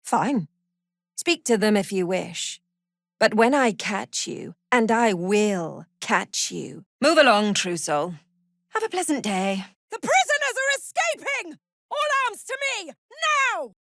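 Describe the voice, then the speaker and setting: A woman playing a cruel, zealous cult prison warden in a fantasy video game, haughty and sardonic, with measured, threatening delivery. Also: mid-range voice, very animated, a bit bright, no echo, studio quality, heavily acted. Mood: menacing, then furious. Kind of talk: video game character voice acting.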